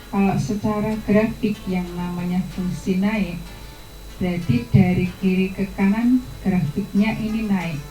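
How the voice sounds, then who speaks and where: Mellow woman teaching math, echoey room. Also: medium pitch. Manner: patient, step-by-step explanation to students. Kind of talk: explaining a math lesson.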